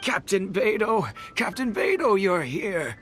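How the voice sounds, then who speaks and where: A man's voice: a little high, very animated, measured pace, fairly bright tone, slight background noise. An actor playing a fretful, grumbling old village man in a video game. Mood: excited. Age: older.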